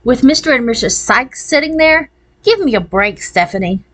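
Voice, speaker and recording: A woman's voice: on the high side, animated, normal speed, fairly bright tone, pitched above the performer's own voice. A woman voicing a blunt, bossy fifth-grade girl with a dry wit in a children's storybook. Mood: sardonic. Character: Kate, brisk, sardonic fifth-grade girl, movie buff.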